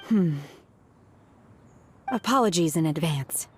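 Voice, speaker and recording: A calm, detached young woman disciple in a fantasy game. She speaks evenly and matter-of-factly, in a fairly low, cool voice. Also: slow pace, a little hiss or hum. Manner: cool, even and matter-of-fact.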